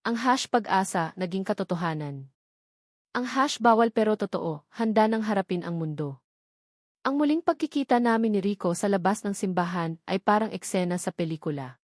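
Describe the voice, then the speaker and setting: A woman's voice: mid-range voice, lively intonation, moderate pace, dry recording, clean studio sound. A woman storyteller giving scripted first-person romance narration in a dramatic, sentimental manner.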